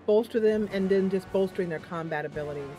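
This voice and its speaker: A woman talks through her game session in a loose, chatty way, pausing often with fillers as she thinks aloud. Her voice sits at a middling pitch. Faint game music plays underneath. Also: warm tone. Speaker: gaming channel host. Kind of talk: casual gameplay commentary.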